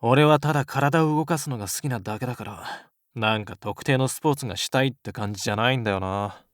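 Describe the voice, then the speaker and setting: A man playing a cool, blunt, athletic teenage schoolboy in an anime-style voice drama, talking in curt, rough slang. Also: mid-range voice, fast talker, no room sound, clean studio sound, natural voice. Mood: relaxed, casual.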